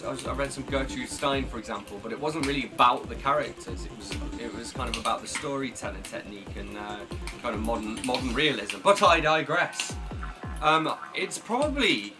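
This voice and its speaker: Casual, rambling male book vlogger, music behind. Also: medium pitch. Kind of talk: casual chat to camera.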